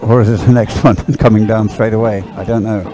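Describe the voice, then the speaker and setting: A low-voiced man co-commentating live on a race. He talks in a chatty, unscripted way, hesitating and repeating words as he rambles through his explanations. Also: fairly even intonation, brisk, a crowd in the background. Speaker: sports co-commentator, expert analyst.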